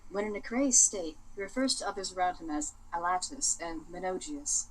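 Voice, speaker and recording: A woman's voice: lowish voice, lively intonation, fairly quick, slight background noise, rough recording. A woman co-streaming a game, playful, chatting and reading game text aloud.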